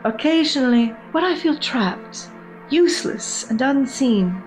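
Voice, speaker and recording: A prim, genteel porcelain doll played by a woman speaks in a highish voice over clear background music. She is vain and quietly obsessive, choosing each formal word with theatrical care, as in a gothic horror radio tale. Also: animated, rough recording. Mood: vain, wistful. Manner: formal, refined, dramatic diction with deliberate pauses. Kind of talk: gothic horror audio drama monologue.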